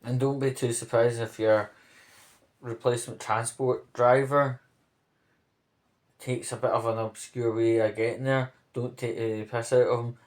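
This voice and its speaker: A man giving off-the-cuff spoken commentary on local news, rambling, pausing mid-phrase and checking in with the listener. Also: mid-range voice.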